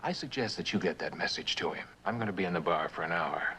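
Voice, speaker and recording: An actor playing a determined, sardonic traveler in a suspense drama, speaking in clipped, insistent, dryly sarcastic lines. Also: male voice, low-pitched, animated, quick pace, pushed and bright, slight background noise, full character voice. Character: determined, sardonic male traveler pressing for answers.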